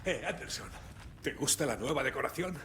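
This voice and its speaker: A man's voice: animated, a little fast, sharp tone. An actor playing a wry, world-weary, blunt and stoic lawman in dramatic video game dialogue. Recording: faint background rumble and noise.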